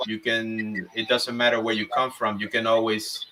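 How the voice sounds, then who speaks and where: A man's voice: fairly low pitch, level tone, moderate pace, sharp tone, slight background noise. A young man from Cuba, a comic book writer, speaking earnestly in a casual interview with many fillers.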